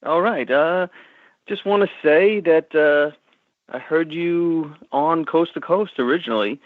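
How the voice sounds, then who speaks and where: A middle-aged man with a Long Island New York accent calls in over a tinny phone line. He talks easygoing and loose, full of fillers and repeated words, in a fairly high voice. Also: measured pace, dry recording. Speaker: caller. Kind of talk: phone call-in chat.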